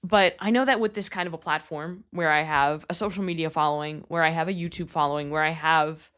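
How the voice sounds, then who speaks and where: A woman's voice: low-pitched, fairly even intonation, fairly quick, fairly bright tone. Earnest, candid woman of about thirty. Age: 30s. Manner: earnest and candid, with casual swearing. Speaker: podcast host. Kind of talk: personal podcast monologue.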